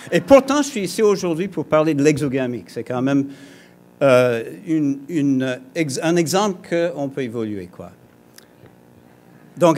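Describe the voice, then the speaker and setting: A man's voice: medium pitch, a little mellow. A man from Alberta whose first language is English, giving a conference talk in a conversational way, with restarts and joking asides to the audience. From Alberta, Canada. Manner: hesitant, frequent restarts and fillers. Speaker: conference speaker. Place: conference hall.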